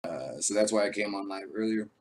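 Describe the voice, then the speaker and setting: A man who is an army veteran hosting his own show, talking casually and rambling with many fillers, his voice raspy from a cold. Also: lowish voice, animated, fairly quick, darkish tone, a little hiss or hum. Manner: laid-back, rambling, frequent uh fillers. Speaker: show host. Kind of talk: casual live-stream talk.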